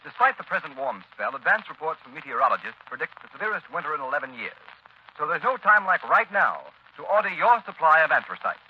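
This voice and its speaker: A muffled old radio broadcast of a male announcer selling hard. His voice is high, forceful and swooping, with emphatic salesman delivery. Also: big pitch swings, fast, slightly rough. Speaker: radio announcer reading a commercial. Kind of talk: 1930s-40s radio advertising read.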